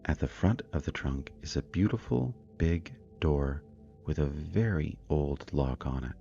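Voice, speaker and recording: A man narrating a scripted guided meditation in a calm, soothing, deliberate manner. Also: very deep voice, gravelly, muffled recording. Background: meditation music with singing bowls.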